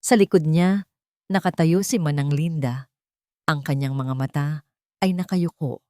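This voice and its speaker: A woman with a slightly low voice narrates a scripted drama. Her delivery is emotive and sorrowful, in a dry recording with little room sound. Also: a little slow, dark tone, no echo. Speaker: audio story narrator. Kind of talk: dramatic scripted first-person story narration.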